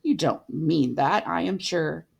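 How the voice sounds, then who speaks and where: A woman voicing a cheerful, warm-hearted young man in a storybook reading, bright and good-humoured. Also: low-pitched, slow pace, vocal fry, slightly rough, muffled recording, exaggerated voice.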